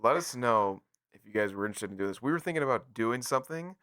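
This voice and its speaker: A man's voice: medium pitch, lively intonation, fast talker, fairly bright tone, smooth, no room sound, clean studio sound. An American man co-hosting a casual gaming podcast, chatting informally and jokily and talking straight to listeners. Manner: jokey, informal, addresses listeners directly. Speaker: podcast co-host.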